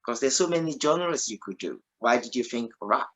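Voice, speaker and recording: A man's voice: medium pitch, expressive, normal speed, fairly bright tone. A male radio host asks long, looping questions packed with ums and you-knows. He keeps encouraging his guest. His tone stays casual and chatty. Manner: encouraging, with rambling questions full of fillers. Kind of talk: casual interview chat.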